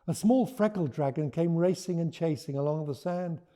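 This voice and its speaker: A man speaking as a children's storyteller, with earnest, deliberate phrasing. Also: on the high side, dark tone. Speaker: author and storyteller. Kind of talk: expressive picture-book read-aloud and informal explanation.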